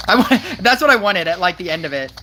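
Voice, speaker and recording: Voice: mid-range voice, sing-song, normal speed, heavy background noise. A game streamer chatting casually over mobile gameplay, giggly and rambling with lots of fillers. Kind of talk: casual gameplay commentary with chat.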